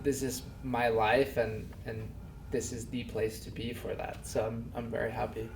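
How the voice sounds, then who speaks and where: A young man from Denmark, a race car driver being interviewed, talking softly with many fillers. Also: mid-range voice, flat delivery, gravelly, recorded from a distance, a little hiss or hum. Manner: soft-spoken, halting with many fillers. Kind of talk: casual interview. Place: pub. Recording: echoey pub, voice from off-mic.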